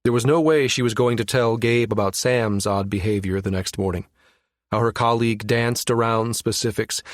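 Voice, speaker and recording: A man's voice: mid-range voice, no echo, studio quality. A man reading a science fiction audiobook as scripted narration.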